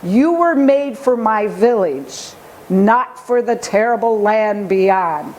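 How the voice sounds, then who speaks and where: A woman voicing a gentle, fatherly village maker in a storybook, with a solemn, measured manner. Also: medium pitch, lively intonation, a little hiss or hum.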